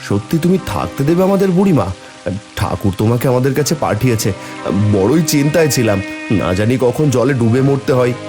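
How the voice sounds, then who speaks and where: A man's voice: medium pitch, very animated, a little fast, over music, natural voice. A man voicing a good-natured, worried village husband and father in a cartoon fairy tale. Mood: grateful.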